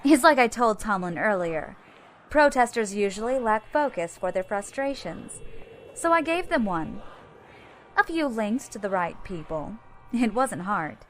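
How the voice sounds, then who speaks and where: Female rebel captain played by an actress for sci-fi audio drama: medium pitch, cool, wry, slightly noisy background. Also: lively intonation, a little slow, close to an everyday voice. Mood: wry, smug. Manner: wry, matter-of-fact.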